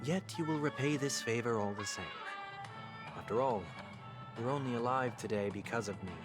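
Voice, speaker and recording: A man's voice: medium pitch, lightly acted. A man voicing a composed, courteous and calculating young fugitive in a video game, speaking formally and persuasively. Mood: coolly insistent. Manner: formal, measured, coolly persuasive. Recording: background music under dialogue.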